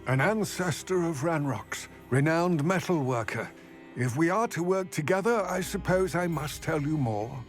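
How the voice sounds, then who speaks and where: A man playing a grave, weary goblin in a video game, speaking in a formal, measured, wordy way about serious matters. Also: a little high, expressive, faint music behind, lightly acted. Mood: grave, explaining. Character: grave, weary male goblin, wary of wizards. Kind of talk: video game cutscene acting.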